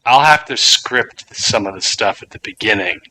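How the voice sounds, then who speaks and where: A man's voice: mid-range voice, normal speed, pushed and bright, rough recording. A man co-hosting a podcast, chatting casually and enthusiastically about tabletop games. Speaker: podcast co-host. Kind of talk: casual tabletop gaming chat.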